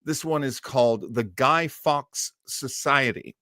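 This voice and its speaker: An American man hosting a casual live stream, talking in a halting, theatrical, self-deprecating way. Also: mid-range voice, sharp tone, no room sound, clean studio sound. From United States. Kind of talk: casual live chat introducing an old radio show.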